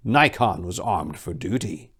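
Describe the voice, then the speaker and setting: A man's voice: deep voice, lively intonation, measured pace, fairly bright tone, slightly rough. A man narrating in a theatrical, emphatic way, like a dramatic reading. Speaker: narrator and host.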